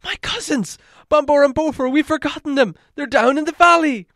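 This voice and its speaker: Distraught dwarf performed by a man, shrill raised pitch, loud wailing and moaning, fantasy storybook. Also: normal speed, no echo, clean studio sound. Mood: panicked, despairing. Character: panicking, despairing male dwarves fearing for their kin.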